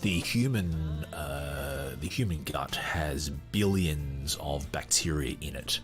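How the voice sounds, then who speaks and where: A man hosting a podcast, reading a book aloud and breaking off to comment, animated, laughing often, with lots of fillers and restarts. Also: very deep voice, slow pace, soft background music. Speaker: podcast host.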